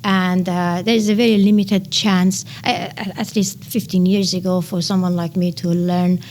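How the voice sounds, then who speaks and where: A woman in her thirties from Iran, speaking English with a Persian accent. She lays out science in an eager interview tone, filling pauses with "uh". Her voice carries a gravelly, fry-tinged edge over a faint bed of room hiss. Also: fairly low pitch, level tone, a little mellow. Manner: enthusiastic explainer with frequent "uh" pauses. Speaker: nanotechnology researcher. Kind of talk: explanatory interview answers.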